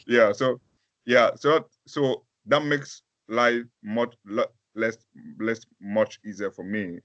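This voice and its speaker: Male pastor, from Africa, deep low voice, halting and full of restarts, dry, dull call audio. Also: steady tone, fairly bright tone, dry recording. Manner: halting, many fillers and restarts. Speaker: pastor and interview guest. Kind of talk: casual interview answers.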